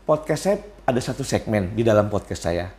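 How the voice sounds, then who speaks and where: A man's voice: lowish voice, lively intonation, a little fast, darkish tone. A man, chatting casually as a podcast guest, laughing easily and repeating words for emphasis. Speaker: podcast guest, agricultural extension worker. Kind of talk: casual conversation.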